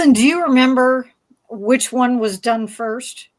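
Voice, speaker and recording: Higher-voiced woman moderating a casual panel. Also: steady tone, takes it slowly.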